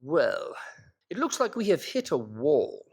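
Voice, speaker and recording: A man playing a brisk, businesslike Victorian police inspector in an audio drama. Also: on the high side, wildly swooping pitch, vocal fry, husky, full character voice. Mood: brisk, resigned.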